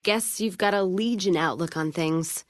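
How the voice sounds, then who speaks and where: Actress as tough wasteland caravan woman: low voice, blunt, wry, hard-drinking game character, close dry recording. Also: unhurried, dry recording, close to an everyday voice. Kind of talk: video game character dialogue.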